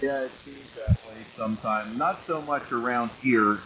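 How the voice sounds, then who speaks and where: Muffled ham radio signal with static noise, man, lowish pitch, casual on-air chat. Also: moderate pace. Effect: ham radio transmission. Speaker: amateur radio operator.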